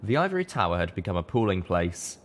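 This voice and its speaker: Male narrator, low pitch, scripted first-person storytelling, audiobook reading. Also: big pitch swings, quick pace. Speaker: audiobook reader.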